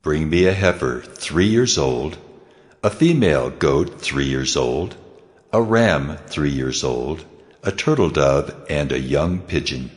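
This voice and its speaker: A man's voice: rumbling low, animated, takes it slowly, full character voice. An actor playing God in a dramatized Bible reading, a solemn and commanding male divine voice making declarations. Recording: long cavernous reverb.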